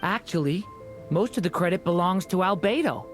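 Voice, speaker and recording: A man playing an earnest, gentle and shy young dragon boy in an anime game. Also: high voice, animated, moderate pace, faint music behind.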